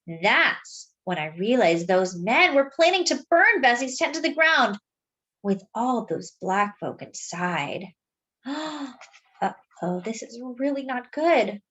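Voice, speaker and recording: Woman, children's storyteller, unhurried pace, fairly high pitch, expressive, dramatic, read-aloud delivery. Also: poor audio. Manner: dramatic, deliberate, with asides to young listeners. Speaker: children's storyteller reading a picture book aloud.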